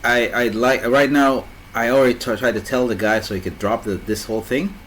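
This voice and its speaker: A man's voice: medium pitch, steady tone, brisk, fairly bright tone, a little hiss or hum. A young man from South Sudan telling stories in casual chat, hesitant with many fillers.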